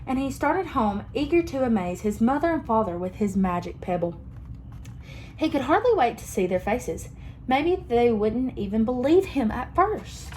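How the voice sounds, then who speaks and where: A young woman reading a picture book aloud to children, expressive and pausing to ask them questions. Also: on the high side, slight background noise. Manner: expressive, asks listeners questions. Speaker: children's story reader.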